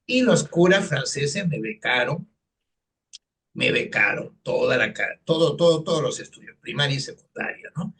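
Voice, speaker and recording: A man's voice: a little high, animated, moderate pace, a bit bright, recorded from a distance. A man in his sixties from Lima with a Peruvian accent, an economist telling his life story in an interview with many fillers. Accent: Peruvian Spanish, Lima. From Peru. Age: 60s. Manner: earnest, storytelling, frequent fillers. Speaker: economist, guest. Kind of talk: personal interview.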